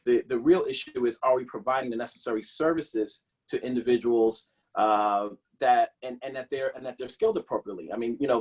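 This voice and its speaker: A man speaking as a political candidate, answering a policy question in a halting, slightly husky voice that often repeats and corrects phrases. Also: medium pitch, a little mellow.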